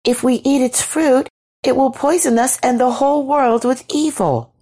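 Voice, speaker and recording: A woman as an innocent, high-voiced young woman. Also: fairly even intonation, normal speed. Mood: cheerful. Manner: light, naive, bright-eyed. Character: cheerful, innocent, trusting young first woman.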